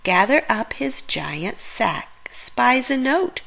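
A woman narrates a picture book for young children at a very leisurely pace. Her pitch rises and dips in a lively way. She stretches words and leaves dramatic pauses for effect. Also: creaky. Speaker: children's story reader.

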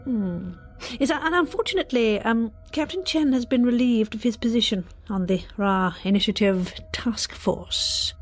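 A woman's voice: on the high side, animated, normal speed, faint music behind. A woman playing a cold, officious corporate enforcement officer in an audio drama, speaking in a formal, curt, bureaucratic way. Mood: cool, official.

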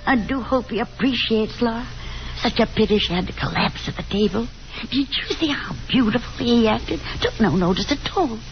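An actress playing an excitable, meddling middle-aged mother, effusive and fluttery, in 1950s radio drama. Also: on the high side, moderate pace, music in the background. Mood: delighted, anxious. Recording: muffled 1950s radio broadcast.

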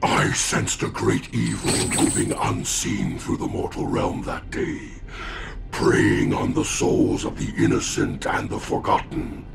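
Demonic spirit, gravelly rasp, grim menace, loud music behind. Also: male voice, low voice, very animated, a little slow, full character voice. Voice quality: gravelly, rasping. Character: demonic flaming-skull spirit avenger, grim. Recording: game cutscene with loud score.

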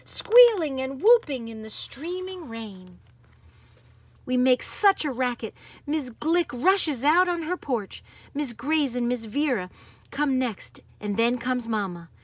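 A woman reading a picture book aloud in an expressive, dramatic manner. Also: high-pitched, no echo. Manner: animated, dramatic, varies from hushed to exuberant. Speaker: storybook reader.

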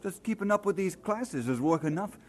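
Earnest young lad, high animated voice. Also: male voice, a little fast. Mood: weary, wistful. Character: earnest Scottish working lad longing for the sea. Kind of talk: old-time radio drama acting.

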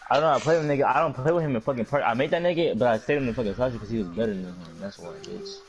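A man's voice: medium pitch, racing. A young man in voice chat during a basketball video game, heated and defensive. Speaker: gamer in voice chat.

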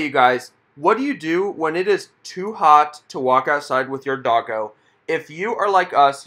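A man's voice: a little high, pushed and bright. A man hosting a casual vlog, talking to viewers in an upbeat, chatty way as he strolls through a shopping mall. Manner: upbeat, chatty, addresses viewers as you guys. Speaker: vlog host.

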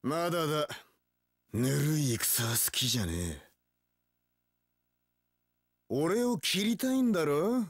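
A cocky young samurai warlord for a video game. He drawls slowly with rough swagger, swinging widely in pitch and tossing in English catchphrases. The sound is dry and close. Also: sing-song, dry recording, exaggerated voice. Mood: cocky, taunting. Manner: swaggering, rough tough-guy speech peppered with English catchphrases. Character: cocky, cool young male samurai warlord, swaggering one-eyed dragon. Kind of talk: video game battle voice lines.

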